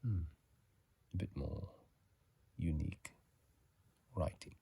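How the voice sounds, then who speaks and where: A man doing whispered ASMR commentary on a video game, soft and breathy, musing in a halting, casual way, close to a phone mic. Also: low voice. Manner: halting, musing, unhurried delivery. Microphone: close phone microphone.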